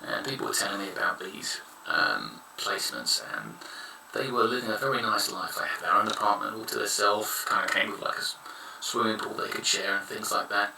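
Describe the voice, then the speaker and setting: A man's voice: fairly low pitch, expressive, fairly quick, gravelly, few pauses, background noise. A man in his thirties giving frank, rambling advice in a casual monologue. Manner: frank, rambling, blunt opinions. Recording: echoey room, somewhat far from mic.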